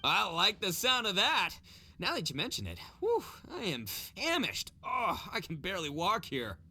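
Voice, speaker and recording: A man voicing a loud, boastful and cheerful young brawler in a game cutscene. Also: high-pitched, huge pitch swings, moderate pace, full character voice. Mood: hearty. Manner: boisterous, hearty. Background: game music.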